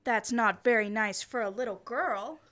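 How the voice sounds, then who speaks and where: A reasonable suburban mother in a vintage radio comedy, played by a teenage girl. She speaks in a fairly high voice and gently keeps her family in line. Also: moderate pace, lightly acted. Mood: gently chiding. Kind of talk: old-time radio comedy acting. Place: school library. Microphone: standing stage microphone.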